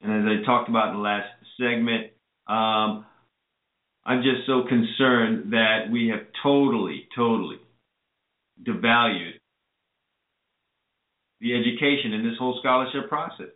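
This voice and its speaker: A man's voice: medium pitch, measured pace, long pauses. A man hosting a call-in radio show, earnestly advising listeners and then bringing on a guest. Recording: echoey, phone-like lo-fi audio.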